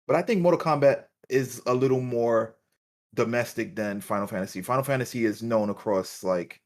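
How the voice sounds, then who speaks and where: A man's voice: mid-range voice, moderate pace, a little mellow. A man co-hosting a podcast in casual banter, opinionated and emphatic, often repeating himself. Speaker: podcast co-host.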